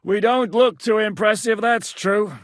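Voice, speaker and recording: An actor playing a gruff, battle-hardened war commander in a video game, blunt and coarse as he barks orders. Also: high-pitched, no echo, studio quality. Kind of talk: game voice lines.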